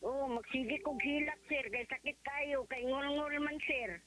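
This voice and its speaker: A woman from Leyte with a Visayan accent giving a conversational testimonial, halting and deferential. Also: high voice, fairly even intonation, a bit bright. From Leyte, Philippines. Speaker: testimonial caller. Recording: slightly noisy phone call on radio.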